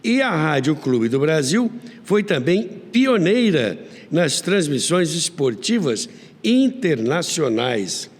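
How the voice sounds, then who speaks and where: A man's voice: a little high, sing-song, slow. A Brazilian man hosting a history programme, narrating like a storyteller with emphatic, old-school radio announcer delivery. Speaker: radio history podcast host. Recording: long reverb on voice.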